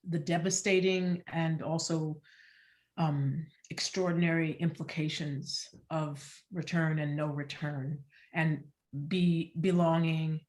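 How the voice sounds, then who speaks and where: A woman with a low-pitched voice speaks in a reflective, scholarly register. She is articulate and deliberate, weighing her words and stressing the important ones. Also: fairly even intonation, a little mellow. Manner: deliberate, searching, articulate, emphasizes key words. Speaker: theater artist on a panel. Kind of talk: scholarly, reflective panel discussion.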